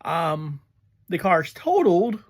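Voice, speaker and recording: A casual reviewer talking through an episode in a halting way, often pausing mid-sentence. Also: medium pitch, very animated. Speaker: reviewer and host of a review series.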